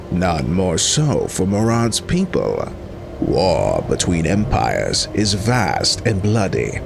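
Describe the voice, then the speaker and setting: Gravelly, smug alien crime boss. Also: male voice, fairly low pitch, heavily acted. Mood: smug, unhurried. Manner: formal, refers to self in third person, deliberate. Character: alien male crime boss, smug, menacing, silky merchant. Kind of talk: audio drama villain acting.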